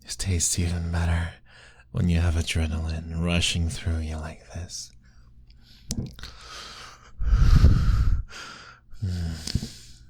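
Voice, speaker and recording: A man doing a deep, courtly vampire lord. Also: monotone, slow, long pauses, breathy edge, full character voice. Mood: breathless, doting. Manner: formal, old-fashioned, gently pleading; soft, intimate, often whispered. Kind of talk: ASMR roleplay audio.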